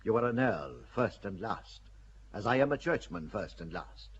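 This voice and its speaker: An actor playing a calculating bishop in a radio play, speaking in a measured, persuasive way with a husky voice. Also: male voice, on the low side, lively intonation, lo-fi sound, slight background noise, natural voice. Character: shrewd older churchman, a bishop, calculating.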